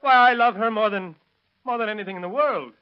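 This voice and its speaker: A man's voice: high-pitched, a little fast, smooth, lightly acted. A man acting a timid, easily riled little man in an old radio drama, in a dull vintage recording. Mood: meek, pleading. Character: timid henpecked little man, boastful and defiant when drunk.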